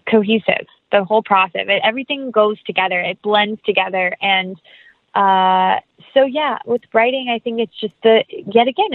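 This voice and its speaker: A woman's voice: medium pitch, no echo. A woman speaking as an interview guest, chatting casually about her creative work in an enthusiastic, effusive way. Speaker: interview guest, actress and writer. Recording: thin phone-like sound.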